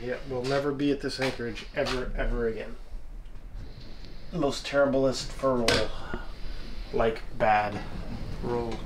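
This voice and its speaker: A man's voice: medium pitch, fairly even intonation, a little slow, long pauses, reverberant, very noisy. A man in his forties, hosting a boat vlog, talking bluntly and wearily in a boat cabin at night into a handheld camera. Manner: exasperated and tired, blunt. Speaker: host, boat owner. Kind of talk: casual vlog chat. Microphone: handheld camera mic.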